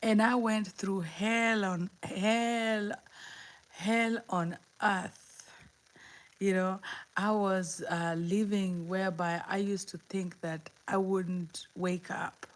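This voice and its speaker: A woman's voice: medium pitch, fairly even intonation, takes it slowly. A woman in her fifties with a Kenyan accent, hosting a casual live chat. She talks in a chatty, encouraging way, laughs often and answers viewers' comments. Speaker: livestream host.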